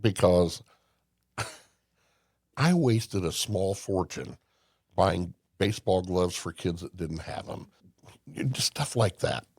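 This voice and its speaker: A man's voice: low voice, lively intonation, takes it slowly, pauses often, a little mellow, husky, no echo, clean studio sound. An older man from Indiana, a podcast guest telling folksy stories with emphasis and frequent restarts. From Indiana, USA. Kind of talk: casual conversation.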